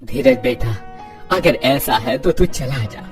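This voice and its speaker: A man's voice: mid-range voice, lively intonation, slow pace, faint music behind. A man voicing a poor elderly father-in-law in a cartoon family story. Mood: encouraging. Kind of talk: scripted cartoon story dialogue.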